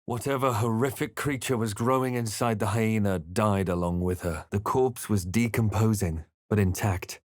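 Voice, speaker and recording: A man playing a solemn, thoughtful adventurer hero in a fantasy video game, speaking in measured, dramatic lines. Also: fairly low pitch, no echo, clean studio sound, lightly acted. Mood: solemn narration. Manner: measured, dramatic, literary delivery. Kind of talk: scripted game narration and character lines.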